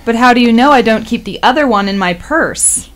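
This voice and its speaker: Radio play: friendly professional woman, thirties, female actor, highish animated voice, playful manner. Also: fairly quick, close to an everyday voice. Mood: polite, playful.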